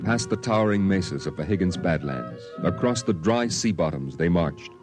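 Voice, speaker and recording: A man playing a somber storyteller recounting his boyhood on Mars, in measured, dramatic first-person narration for a vintage radio drama. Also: low voice, lively intonation. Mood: somber, hushed. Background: dramatic music.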